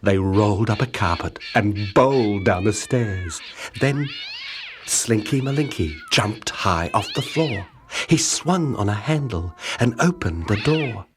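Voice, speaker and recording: Low-voiced man reading a children's story, very lively. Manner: dramatic, measured storytelling with rhythmic rhyming delivery. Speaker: audiobook narrator. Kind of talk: expressive children's picture book reading. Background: music.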